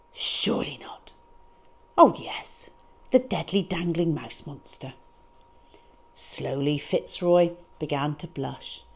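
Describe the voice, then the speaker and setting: Animated woman reading a children's story. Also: on the high side. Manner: expressive, emphatic, drawn-out key words. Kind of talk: dramatic read-aloud storytelling.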